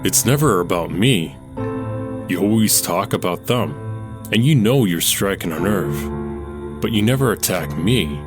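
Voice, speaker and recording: An actor playing a weary young man arguing with his own doubts, earnest and low-pitched, in an audio drama. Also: expressive, measured pace, over music. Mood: pleading.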